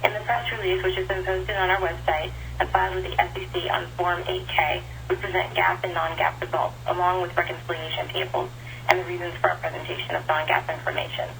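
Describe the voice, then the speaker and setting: A woman reading a formal scripted statement in a measured way as an investor relations director, over a telephone conference line. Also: fairly low pitch, level tone, gravelly, a bit bright, a little hiss or hum, poor audio. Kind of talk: formal scripted disclaimer reading on an earnings call.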